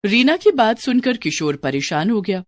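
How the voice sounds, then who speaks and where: A woman's voice: medium pitch, sing-song, normal speed, dry recording. A woman storyteller reading scripted narration of a moral bedtime story.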